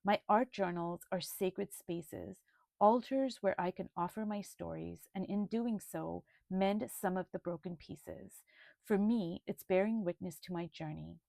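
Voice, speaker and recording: A woman presenting her art journal in a video, speaking in a slow, thoughtful, gently earnest way. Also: fairly low pitch, gravelly, no room sound. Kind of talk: reflective video flip-through.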